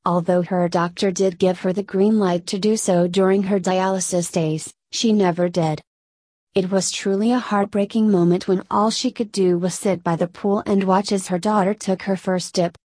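A woman narrator reading a scripted feature article aloud in a measured way. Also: medium pitch, fairly even intonation, darkish tone, no echo.

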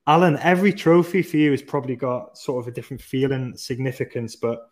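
A man's voice: mid-range voice, fast talker. A man from Australia hosting a casual podcast, chatty and reading out stats. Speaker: podcast host.

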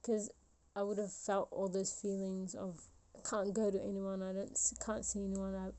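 A woman's voice: medium pitch, little pitch movement, moderate pace, mellow. A woman of about twenty hosting her own podcast, talking reflectively and rambling with many fillers and restarts. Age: 20s. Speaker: podcast host. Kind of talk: solo reflective podcast talk.

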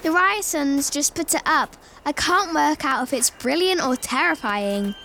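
A woman playing a brisk, opinionated young schoolgirl in a children's audio drama, with a wry manner. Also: sits high, vocal fry, bright, forceful tone, a little hiss or hum, exaggerated voice.

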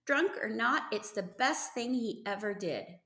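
A woman voicing an eager, gentle thirteen-year-old farm boy in a storybook reading, earnest and excitable. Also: a little high, unhurried, roomy sound. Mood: defiant.

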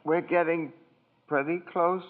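An actor playing a naive, eager and deferential apprentice angel, hesitant and wondering, in a vintage radio drama. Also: male voice, a little high, fairly even intonation, a little slow, natural voice. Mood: concerned. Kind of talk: 1940s radio drama acting. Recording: thin phone-like vintage radio recording.

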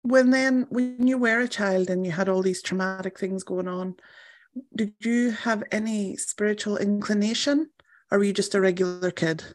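A woman hosting an interview, asking unhurried questions and reacting often with "wow" and "yeah". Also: medium pitch, fairly even intonation, no room sound. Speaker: podcast host. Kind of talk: interview chat.

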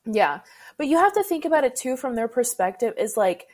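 A woman's voice: on the high side, very animated, fairly quick, a little mellow. A woman co-hosting a podcast, talking casually and explaining her points in a considered way. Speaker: podcast co-host. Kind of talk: casual discussion.

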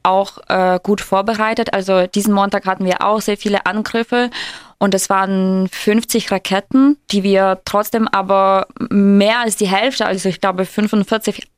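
Woman in her twenties, podcast host, measured and explanatory, dry close studio sound. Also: medium pitch, flat delivery, smooth, no echo. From Ukraine. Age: 20s. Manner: measured and explanatory, many hesitation fillers. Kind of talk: casual podcast chat.

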